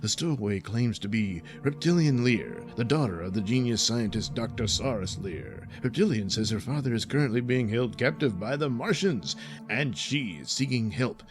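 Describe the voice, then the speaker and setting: A man delivers scripted serial narration with theatrical flair. His announcer delivery moves widely in pitch, and music plays clearly behind him. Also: animated, moderate pace.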